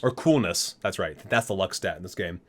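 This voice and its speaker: A man reading item descriptions aloud as an ASMR host, with deliberate, dramatic emphasis. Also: sits low, fairly bright tone.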